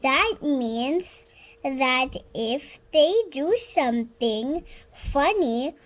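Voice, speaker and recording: High-voiced little girl reading very slowly. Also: lively intonation. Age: child, about 6 years old. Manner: slow, word by word, stumbling and self-correcting. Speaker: young reader practising reading aloud. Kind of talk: halting read-aloud of a children's storybook.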